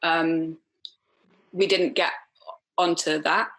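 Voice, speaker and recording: A woman from Wales, chatting as a podcast guest, full of fillers and quick laughs. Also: deep voice, level tone, a bit bright, rough recording. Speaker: film director guest. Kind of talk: casual podcast chat.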